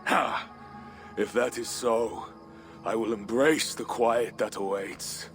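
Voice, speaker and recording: An actor playing a weary, fatalistic Viking warrior chief in a video game cutscene, brooding and theatrical. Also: male voice, on the low side, fairly even intonation, slow, fairly bright tone. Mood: resigned. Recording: music behind the voice.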